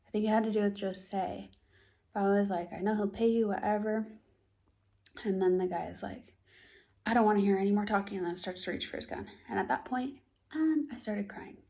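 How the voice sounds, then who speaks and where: A young American woman telling a tense personal story in a casual vlog, animated and often dropping to a hushed near-whisper. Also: medium pitch, fairly quick, vocal fry. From United States. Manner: animated storytelling, acts out both sides of a dialogue.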